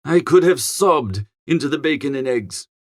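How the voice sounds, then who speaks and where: A man playing a flustered, foppish young English gentleman who narrates a comic audiobook in a chatty, melodramatic, exasperated way. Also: mid-range voice, lively intonation, normal speed, no room sound, clean studio sound. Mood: rueful.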